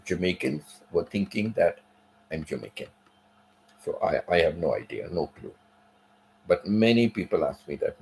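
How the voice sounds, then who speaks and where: A man's voice: low voice, creaky, darkish tone, a little hiss or hum, low-quality recording. A middle-aged man hosting a livestream, chatting casually with viewers and repeating his points as he tells a story. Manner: repetitive, conversational storytelling. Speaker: livestream host. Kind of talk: casual livestream chat reading viewer comments.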